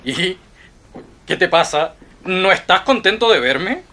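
An actor with a Chilean accent playing a boisterous, cheerful, middle-aged globetrotter in a radio play. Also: male voice, high-pitched, lively intonation, takes it slowly, sharp tone, exaggerated voice. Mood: concerned, upbeat. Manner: exuberant, theatrical.

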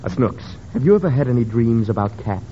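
An actor playing a self-important, formal doctor questioning patients in a 1930s radio comedy. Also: male voice, medium pitch, expressive, fast, close to an everyday voice. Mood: probing. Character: self-important, patient but easily interrupted psychoanalyst doctor. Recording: muffled, hissy 1930s radio recording.

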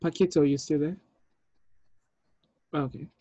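A man's voice: on the high side, fairly even intonation, measured pace, dark tone, no echo, muffled recording. A man in his thirties speaking casually as he hosts a group video call over an earbud headset in a small room. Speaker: host, film director running a table read.

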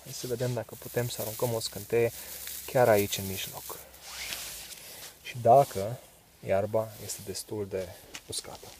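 Low-voiced man explaining outdoors. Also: pauses often, noisy background. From Romania. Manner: relaxed explaining with fillers and short laughs. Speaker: bushcraft vlogger. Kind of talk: casual outdoor tutorial. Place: leafless woods outdoors. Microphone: camera close, handheld then set on ground.

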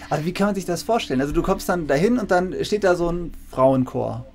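A man's voice: on the high side, expressive, quick pace, a little mellow. A middle-aged man hosting an informal interview on a video call, circling into his questions and rephrasing as he goes. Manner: discursive, self-correcting. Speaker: interviewer, university lecturer. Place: indoor room with bookshelves.

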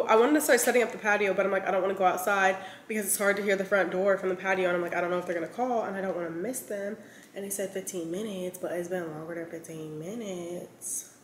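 A woman's voice: mid-range voice, fairly even intonation, fast talker, fairly bright tone. Chatty woman vlogging in an echoey kitchen. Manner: chatty, rambling, many fillers. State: scratchy throat, clearing it. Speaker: lifestyle vlogger. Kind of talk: casual chat to camera. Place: large bright home kitchen. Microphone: camera on a counter, mid-shot. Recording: echoey kitchen with faint room noise.

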